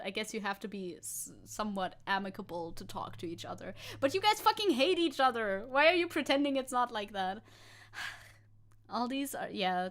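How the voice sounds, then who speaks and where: A young woman VTuber streamer chatting casually and sarcastically, reading news and chat aloud, swearing and laughing. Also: medium pitch.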